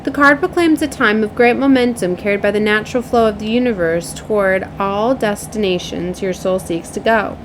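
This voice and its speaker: A woman reading spiritual guidance aloud as a tarot and oracle card reader, in a solemn, deliberate manner. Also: mid-range voice. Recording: clear background noise.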